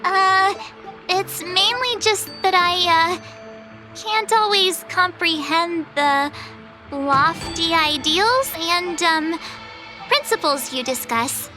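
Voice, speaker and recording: A woman's voice: extremely high pitch, slow pace, music in the background. A woman voicing a perky, plucky, easily flustered young woman in a video game, with a casual, hesitant, bubbly manner. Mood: flustered, sheepish. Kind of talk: scripted video game voice acting.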